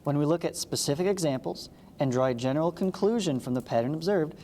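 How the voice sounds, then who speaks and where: Male teacher for a read-along lesson: mellow, explanatory, breaks into informal asides, recorded with heavy reverb. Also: fairly high pitch, quick pace. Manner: explanatory, checks understanding with short asides. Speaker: math teacher. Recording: long reverberant echo, clearly noisy background.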